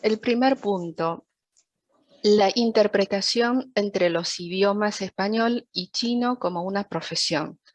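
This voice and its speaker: A woman from China, a native Chinese speaker, gives a prepared lecture as an interpreter in a formal, measured, didactic way. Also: mid-range voice, no room sound. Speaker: interpreter giving a talk. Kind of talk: prepared lecture, read from a script.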